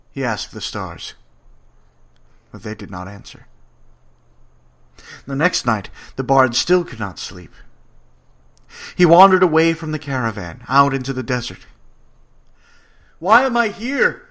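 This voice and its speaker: Slow, dramatic male storyteller. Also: mid-range voice. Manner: dramatic, with wide swings in loudness. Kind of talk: dramatic scripted monologue reading.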